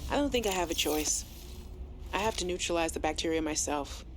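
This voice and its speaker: A woman playing an earnest, anxious but quietly defiant scientist in a video game audio log, hesitant and confiding. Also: fairly low pitch, expressive, fairly quick, noisy background. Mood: nervous, resolute.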